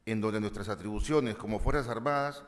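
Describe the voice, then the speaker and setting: A man introducing a conference session in a formal, measured, ceremonious way, with the odd filler. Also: on the low side. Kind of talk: formal prepared address. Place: large conference hall. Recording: long hall reverb.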